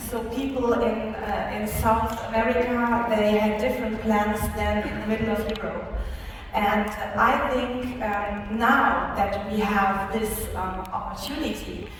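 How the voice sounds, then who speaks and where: A woman's voice: medium pitch, steady tone, unhurried. Hesitant woman in an echoey hall. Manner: hesitant, many fillers and restarts. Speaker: panel speaker at a product training. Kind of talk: live panel answer with fillers. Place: large conference hall. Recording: echoey hall, speaker far from mic, noisy.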